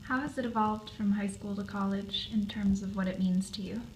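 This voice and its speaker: A woman's voice: medium pitch, steady tone, normal speed, background noise, close to an everyday voice. A woman acting as an interviewer, asking questions in a dramatized interview. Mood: inquiring. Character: female interviewer questioning students.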